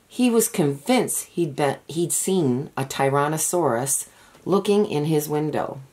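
A woman reading a children's picture book aloud as an expressive, deliberate storyteller. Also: low-pitched. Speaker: storybook reader.

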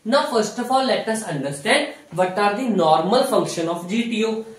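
A man's voice: sits high, lively intonation, moderate pace. A young man with an Indian accent giving a whiteboard lecture in an explanatory way, repeating phrases and posing questions that he answers himself. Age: young adult. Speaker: physiotherapy teacher. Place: small indoor room in front of a whiteboard. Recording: echoey room, distant microphone.

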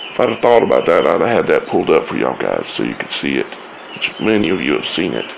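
A man giving informal scripture commentary as a video host, speaking in halting, broken phrases with long pauses. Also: very deep voice, very animated, creaky, a little hiss or hum, low-quality recording.

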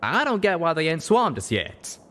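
A man's voice: fairly high pitch, huge pitch swings, normal speed, fairly bright tone, pitched above the performer's own voice. A man voicing a folksy male pegasus cowboy with a Southern US drawl in an audiobook. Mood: uneasy. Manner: plain-spoken drawl.

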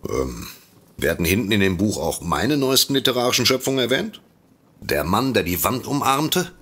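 An actor playing a vain, grumpy detective in a comedic audio drama, dry and self-important. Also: male voice, fairly low pitch, very animated, moderate pace, a bit bright, breathy edge, heavily acted. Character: vain, grumpy, sardonic male detective and would-be writer.

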